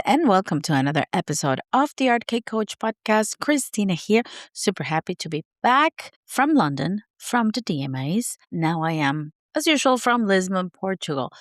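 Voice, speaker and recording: A raspy, hoarse woman with a sore throat hosting her podcast in an unscripted, rambling chat, laughing at herself. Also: mid-range voice, dry recording, clean studio sound. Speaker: podcast host.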